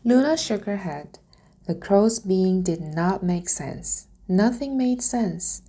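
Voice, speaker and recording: Woman reading a story out loud, careful n slow, gravelly fry in her voice. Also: medium pitch, expressive. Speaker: reader. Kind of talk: storybook read-aloud, scripted narration.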